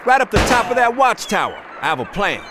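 An American actor playing a bossy, exasperated cop with dry sarcasm in a video game. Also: male voice, sits high, sing-song, quick pace, a loud crowd, heavy background noise. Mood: commanding. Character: bossy exasperated male cop sniper partner.

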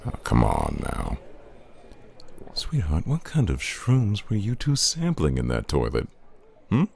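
Very deep, suave flirtatious man played by an actor. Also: huge pitch swings, measured pace, a little mellow, exaggerated voice. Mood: flirtatious, playful.

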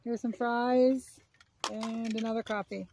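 A woman with a slightly high voice talks from behind a handheld camera outdoors. She guides and lightly teases as she chats casually, with a faint hiss of background noise. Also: steady tone, a little slow. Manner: playful, gently teasing, coaching. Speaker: parent filming children at play. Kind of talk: casual chat, directing a pretend game. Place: backyard, outdoors. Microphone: handheld camera, speaker behind it.

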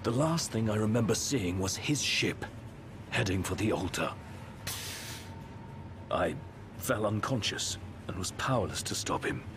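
A composed young royal adviser from a video game. His low voice moves expressively through formal, carefully articulated sentences. Loud train ambience sits behind him. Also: expressive, unhurried, pauses often, noisy background. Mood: grave.

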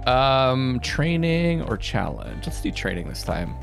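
Excitable Canadian guy playing a game. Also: mid-range voice, takes it slowly, gravelly. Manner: excitable, thinks aloud, laughs and yelps at plays. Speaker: gaming channel host. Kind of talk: let's play commentary. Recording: game music playing behind.

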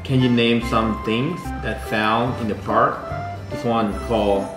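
A man's voice: mid-range voice, steady tone. Man, patient, echoey room. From Thailand. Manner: patient, quick agreeing fillers. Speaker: father tutoring his son. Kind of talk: casual home chat and English practice. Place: indoors at a home dining table. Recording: echoey home room with music.

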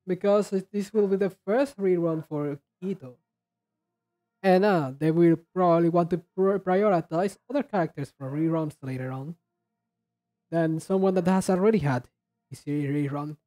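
A man chatting casually and haltingly as he hosts a reaction video, rambling in a low-key way. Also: dark tone. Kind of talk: casual chat, reacting to game trailers.

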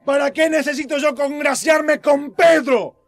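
Very high, indignant accusing man. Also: fairly even intonation, unhurried, crowd noise. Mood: exasperated, accusing. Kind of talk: radio drama acting.

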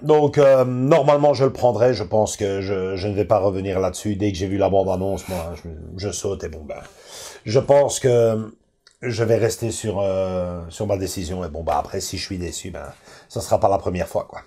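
A man's voice: low voice, normal speed, dark tone. A middle-aged man, hosting a casual film-collecting chat in a living room, full of fillers and restarts. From Belgium. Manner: hesitant, many fillers and restarts. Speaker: host.

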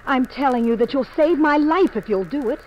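A woman's voice: high-pitched, expressive, a little fast, dull audio. An actress playing an urgent, secretive young woman in a 1950s radio drama. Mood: urgent, pleading. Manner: pleading, insistent. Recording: old radio recording, faint noise.

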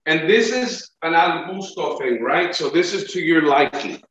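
A man's voice: a little high, animated, moderate pace, a bit bright, echoey room, lo-fi sound. A middle-aged man, a chef, leading a cooking demo and checking in with his student over a video call. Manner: encouraging, coaching with frequent "okay?" and "right?" checks. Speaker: chef instructor.

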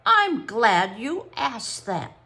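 A woman putting on a prim, spiteful lady psychologist. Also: exaggerated voice. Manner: brisk, schoolmarmish, turns shrill when excited.

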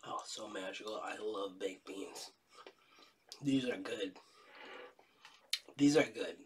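A man's voice: fairly low pitch, slow pace, far from the mic, a little hiss or hum. A young man with a cold, nasal and a bit stuffy, chatting jokily through a taste test at home. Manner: jokey, animated, laughs often. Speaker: co-host. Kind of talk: casual taste-test chat. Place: dining room at home.

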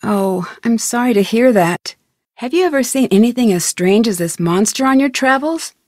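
A woman's voice: on the high side, lively intonation, moderate pace, darkish tone, no echo, studio quality. A woman playing a curious, polite young amateur detective in scripted video game dialogue, asking questions in an inquisitive way. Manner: inquisitive, polite, clearly enunciated. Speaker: game protagonist.

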